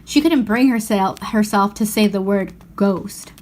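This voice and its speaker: A woman reading a children's novel aloud as expressive narration. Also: mid-range voice, measured pace. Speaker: storybook reader.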